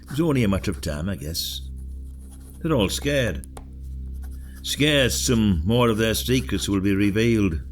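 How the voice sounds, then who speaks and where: Low-voiced, weary older detective. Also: male voice, animated, a little slow, long pauses, soft background music. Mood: brooding. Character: weary, blunt retired male detective, isolated and dogged. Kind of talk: audio drama acting.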